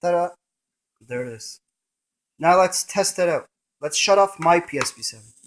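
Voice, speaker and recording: A man's voice: high voice, normal speed. A man presenting a casual hands-on gadget demonstration, narrating each step in short fragments.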